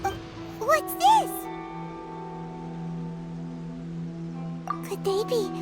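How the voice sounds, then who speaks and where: A tiny floating fairy girl with an extremely high, squeaky voice that bounces around. She is chirpy and curious like a child in a fantasy game, with music playing prominently behind her. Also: unhurried, heavily acted. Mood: puzzled, curious. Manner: excitable, inquisitive, speaks of self in third person. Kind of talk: video game voice acting.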